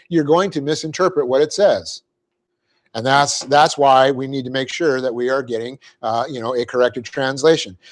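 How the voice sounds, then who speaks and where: Man, Bible class teacher, verse-by-verse lecture, explains Greek grammar, spells out words, clears throat, says "okay" a lot. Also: fairly high pitch.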